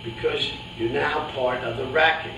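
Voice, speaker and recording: A man's voice: mid-range voice, steady tone, normal speed, lightly acted. A man playing a world-weary boxing manager in a staged reading, speaking bluntly and matter-of-factly as he lectures his fighter. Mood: cautioning. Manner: blunt, cautionary, matter-of-fact. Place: live staged reading in a roomy indoor space. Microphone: distant video camera. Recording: echoey, noisy room, distant camera microphone.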